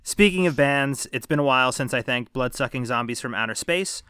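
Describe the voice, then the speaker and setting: A man's voice: mid-range voice, expressive, brisk, pushed and bright, smooth, no room sound, studio quality. A man in his thirties co-hosting a casual movie podcast, joking and swearing freely. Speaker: podcast co-host.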